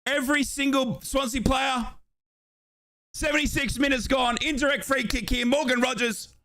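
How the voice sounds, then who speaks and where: A man calls a football match live from his home office as a fan, swinging between shouted chants and running play-by-play. His voice sits extremely high for a man and comes across bright and forceful. He often breaks into yelling. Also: fairly even intonation, moderate pace. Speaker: live watchalong host.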